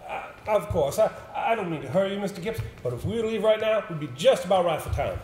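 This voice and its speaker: Man acting a high-voiced, reserved town agent, echoey. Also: animated, fast talker, a little mellow. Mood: hurried, awkward. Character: reserved, evasive male small-town agent, politely hurrying. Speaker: stage actor. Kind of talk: stage play acting. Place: indoor stage, recorded from afar. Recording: very echoey hall, distant mic, hiss.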